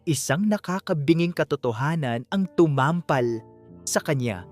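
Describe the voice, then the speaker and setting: A narrator reading a scripted love story in a dramatic audiobook style. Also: mid-range voice.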